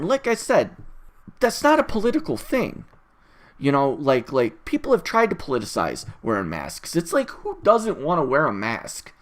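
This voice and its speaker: A person recording a casual solo audio log, rambling in an opinionated, exasperated and emphatic way with frequent tangents and swearing. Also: mid-range voice. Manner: rambling, easily distracted, opinionated.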